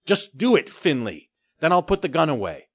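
A man voicing a young pirate boy who speaks tensely and urgently, raised in pitch, in a storybook reading. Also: lively intonation, normal speed, no room sound, clean studio sound, lightly acted.

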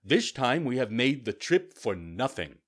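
A man's voice: mid-range voice, big pitch swings, a little slow, a bit bright, husky, voice pushed up, heavily acted. Guy doing a solemn storybook rabbit undertaker, grumbly and matter of fact. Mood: grumbling.

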